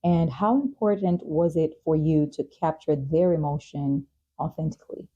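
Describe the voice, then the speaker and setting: A woman's voice: low-pitched, fairly even intonation, moderate pace, dark tone, a little hiss or hum. A woman hosting a talk show, asking conversational questions in an affirming way, on dull audio. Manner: affirming, with frequent short acknowledgements. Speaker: talk show host, interviewer.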